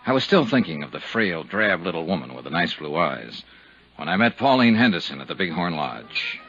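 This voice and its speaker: A low, lively American man's voice as a probing radio detective of the 1950s. He is blunt and clipped, questioning directly. The sound is a dull old broadcast with faint music behind it. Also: fairly quick, heavily acted. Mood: reflective. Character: blunt, probing male insurance investigator. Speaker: radio drama lead, narrator.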